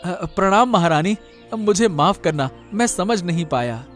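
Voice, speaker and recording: A man's voice: high voice, lively intonation, unhurried, fairly bright tone, faint music behind. A man playing a desperate, wrongly banished young villager in a cartoon moral story, pleading and repeating himself. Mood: humble, respectful.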